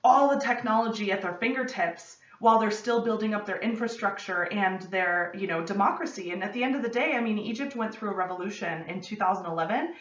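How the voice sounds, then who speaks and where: A young American woman talks to a camera set a little way off in her home study, excited and quick to go off on tangents. Her voice sits in a middling range, and the room adds a noticeable echo. The sound is somewhat dull and lo-fi. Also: medium pitch. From United States. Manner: excitable, gestures a lot, rambling asides. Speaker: podcast host. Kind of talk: casual chat to camera. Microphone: camera at desk distance. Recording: roomy, slightly distant, dull lo-fi.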